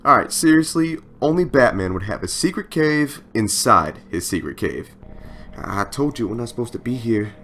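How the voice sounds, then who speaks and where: A man playing a brash, cocky but easily rattled young space superhero in an audio drama, speaking urgently and loudly. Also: medium pitch, animated, a little hiss or hum. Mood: nervous bluster. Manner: urgent, loud, quick, exclaiming. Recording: background music under the voice.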